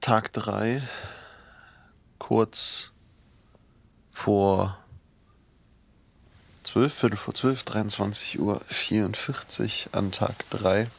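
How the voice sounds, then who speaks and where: Gravelly-voiced young man's audio diary. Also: fairly low pitch, steady tone, extremely slow, long pauses, fairly bright tone. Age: 20s. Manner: casual, hesitant, many fillers and restarts. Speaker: student and journalist trying a self-help book. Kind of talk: self-recorded audio diary.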